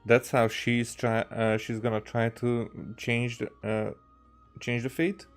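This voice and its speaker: A man from Hungary with a Hungarian accent, giving casual gameplay commentary as a streamer, musing aloud in a halting way. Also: medium pitch, level tone, clean tone, faint music behind. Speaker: game streamer.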